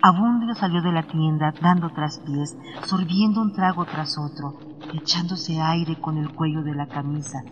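A man's voice: on the high side, fairly even intonation, normal speed, fairly bright tone, highs cut off, a little hiss or hum. A man reading scripted, literary narration for a radio drama. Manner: literary storytelling. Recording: music under the narration.

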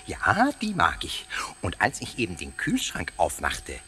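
An actor playing a cheeky, playful, excitable ghost in a children's radio play, theatrical and husky, tripping over his words. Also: low voice, measured pace, a little hiss or hum, heavily acted. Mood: chatty, playful. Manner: theatrical, stammering over words, muddling them. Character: cheeky, playful, excitable old castle ghost, greedy for snacks.

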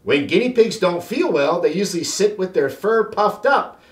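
A caring, busy lady teacher from a children's storybook, read by a man explains things briskly. The voice moves up and down a lot and sounds roomy. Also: sits high, lively intonation. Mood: explaining. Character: busy, caring female primary school teacher.

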